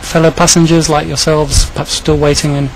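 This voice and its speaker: A male interviewer with a fairly high voice and a very flat intonation puts questions to guests. His phrasing is halting and unscripted, built up piece by piece. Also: faint music behind, poor audio. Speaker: radio and TV news interviewer.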